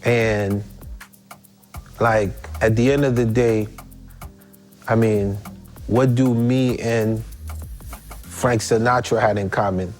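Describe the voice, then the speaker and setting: A middle-aged man, telling stories in an interview in slangy, animated talk full of rhetorical questions. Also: lowish voice, slow, long pauses, soft background music. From Haiti. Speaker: musician guest. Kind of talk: relaxed storytelling interview.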